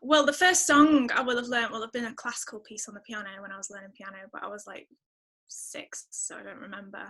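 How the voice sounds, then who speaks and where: A woman's voice: on the high side, flat delivery, quick pace, bright, forceful tone. A woman with a Northern English accent chatting casually and informally as an interview guest. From northern England. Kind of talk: casual chat.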